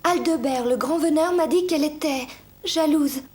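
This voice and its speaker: A woman playing a gentle, polite young fairy-tale princess in a cartoon. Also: high-pitched, moderate pace, natural voice. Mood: distressed. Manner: polite, formal, earnest. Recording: music under the voice.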